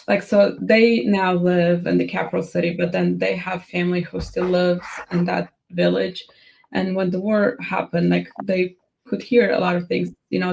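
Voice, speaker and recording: A woman with a Moldovan accent, Romanian her first language, talks through her background at an easy, reflective pace. Her voice carries a gravelly edge. She fills pauses with "like" and "you know" as she gathers her thoughts. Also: mid-range voice, darkish tone. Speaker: guest therapist. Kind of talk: casual panel talk.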